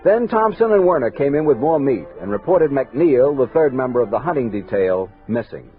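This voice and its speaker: Frontier army captain, male actor: authoritative, steady, formal journal narrator with lively intonation, old thin radio sound. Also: mid-range voice, phone-quality sound, faint music behind, close to an everyday voice. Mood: grave narration. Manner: authoritative, formal, measured storytelling. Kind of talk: 1960s radio drama acting and narration. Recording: thin, band-limited vintage radio recording.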